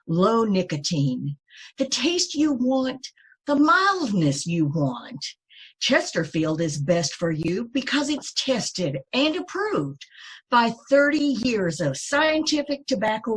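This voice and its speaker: An emphatic commercial announcer played by a woman in 1950s radio style. The voice is slightly husky and animated, taking its time over each sales phrase. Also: fairly high pitch, full character voice. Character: emphatic cigarette sponsor announcer, selling sincerely.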